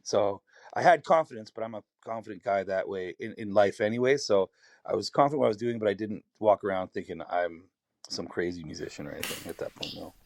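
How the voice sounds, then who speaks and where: Raspy canadian guy, lowish voice, rambles and restarts a lot, dry close sound. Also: quick pace, dry recording. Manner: candid, rambling storytelling with many restarts. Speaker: singer-songwriter guest. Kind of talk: podcast conversation.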